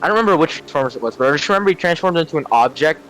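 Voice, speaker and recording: Young male, slightly high voice, casual storyteller, rambling and sweary, vehicle-like noise behind. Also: expressive, racing, fairly bright tone, rough recording. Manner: rambling, searching for words, swearing freely. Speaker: storyteller on a gaming channel. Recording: clear vehicle-like background noise.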